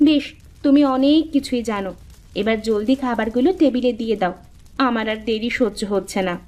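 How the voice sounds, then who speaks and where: A woman voicing a lively, bossy but caring young woman in a cartoon, with a chirpy, insistent manner. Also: fairly high pitch, brisk, lightly acted. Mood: impressed, impatient. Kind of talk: cartoon dialogue acting.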